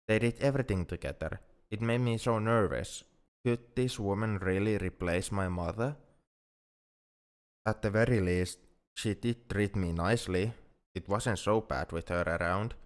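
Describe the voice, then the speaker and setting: Man acting as a young male alien, shy, flustered, halting, earnest, lowish smooth voice, ASMR roleplay. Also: close to an everyday voice. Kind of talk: ASMR roleplay audio drama.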